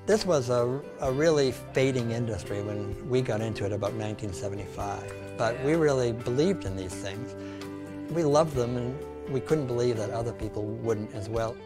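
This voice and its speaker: A man's voice: medium pitch, moderate pace. An older man who builds canoes talks earnestly in his shop, adding some dry humor. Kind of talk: casual interview. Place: woodworking shop, indoors. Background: background music.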